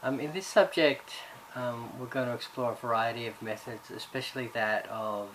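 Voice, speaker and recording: A man's voice: medium pitch, moderate pace, a bit bright, rough recording. A man from Ireland, raised in Australia, giving a recorded course introduction as subject coordinator, talking hesitantly with many fillers and restarts. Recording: background noise.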